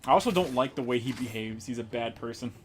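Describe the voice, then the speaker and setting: A man in an average register talks through a game on a live stream. His talk is blunt and full of swearing, and it flares into loud, excitable bursts. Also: mid-range voice, quick pace, music in the background. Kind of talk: casual game stream chat.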